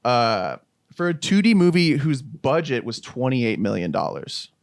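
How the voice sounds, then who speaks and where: A man's voice: mid-range voice, measured pace, no room sound, clean studio sound. A man co-hosting a casual movie review podcast, joking and swearing casually. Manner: lively, jokey, swears casually. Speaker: podcast co-host.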